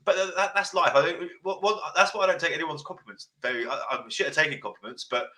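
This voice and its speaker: A man hosting a podcast, giving blunt advice in casual chat with a deep voice, swearing freely and repeating himself. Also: fast talker. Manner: passionate, sounds like shouting. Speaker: podcast host.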